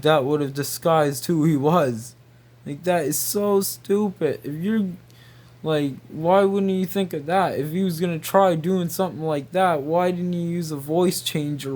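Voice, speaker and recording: A man rambling casually in a halting, repetitive, incredulous way. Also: a little high, a little mellow. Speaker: video creator.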